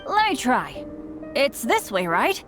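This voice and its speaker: A woman's voice: sits high, huge pitch swings, slow, over music, heavily acted. A woman playing an earnest, unlucky teenage boy adventurer in an anime game, with a boyish voice. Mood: eager, hopeful. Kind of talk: anime video game voice acting.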